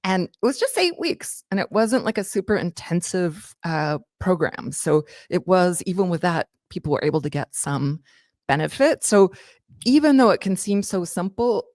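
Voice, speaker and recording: A woman's voice: fairly low pitch, big pitch swings, moderate pace, a little mellow, dry recording, studio quality. A woman co-hosting a health chat show and citing research with enthusiasm, using plenty of casual fillers. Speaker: co-host and author.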